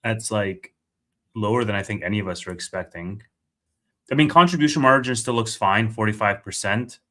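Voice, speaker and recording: Bright-voiced man, casual live commentary. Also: lowish voice, normal speed. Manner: conversational, thinking aloud while reading figures. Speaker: live stream host.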